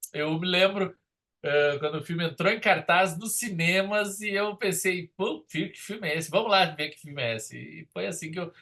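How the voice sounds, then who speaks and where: Middle-aged Brazilian man, slightly high voice. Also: pushed and bright. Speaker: film critic, podcast co-host. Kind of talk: casual conversational commentary.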